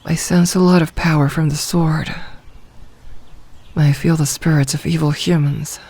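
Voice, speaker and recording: A possessive werewolf pack leader, acted by a woman in an ASMR fantasy roleplay. She speaks very slowly and deliberately, in formal, commanding phrases. She is a grown woman who rules her pack and is devoted to her mate. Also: deep voice, steady tone, mellow, slightly rough, exaggerated voice. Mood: tender, adoring.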